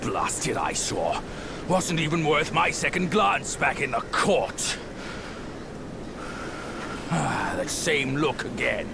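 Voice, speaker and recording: A man's voice: medium pitch, vocal fry, background noise, full character voice. A man voicing a sneering, impatient male companion in a video game cutscene, with mocking, dismissive remarks.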